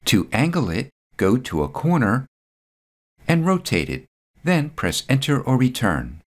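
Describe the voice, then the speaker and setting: A man reading a scripted step-by-step software tutorial voice-over, calm and methodical. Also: medium pitch, measured pace.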